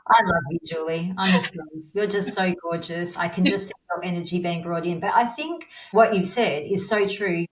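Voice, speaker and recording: A woman's voice: lowish voice, steady tone, normal speed, few pauses, a little hiss or hum. A woman hosting a podcast, chatting casually as she interviews, recorded in an echoey room. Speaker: podcast host. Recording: echoey, reverberant room sound.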